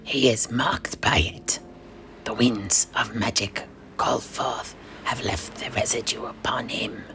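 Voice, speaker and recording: A man voicing a servile, ominous blind seer in a breathy, creaky whisper, halting and repeating himself. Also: mid-range voice, fairly even intonation, pushed and bright, heavily acted. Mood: ominous pleading. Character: creepy, servile blind old seer with gnarled staff.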